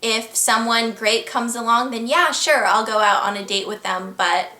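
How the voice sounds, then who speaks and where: A young woman with an American accent talks to her camera at home, answering viewer questions in a chatty, earnest and upbeat way. Her voice sits a little high and sounds crisp and bright. The room around her rings with noticeable echo. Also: steady tone, normal speed. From United States. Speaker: vlogger. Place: at home, indoors.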